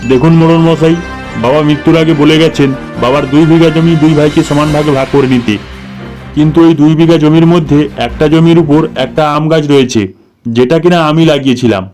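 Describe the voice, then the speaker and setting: Man playing a greedy, bossy elder brother, highish voice. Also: steady tone, fairly quick, a little mellow, soft background music, close to an everyday voice. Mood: self-serving. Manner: bossy, scheming, threatening.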